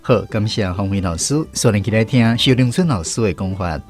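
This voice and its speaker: A man's voice: lowish voice, lively intonation, brisk, darkish tone, faint music behind. A man with a Taiwanese accent hosting a language lesson on the radio, guiding contributors through their turns.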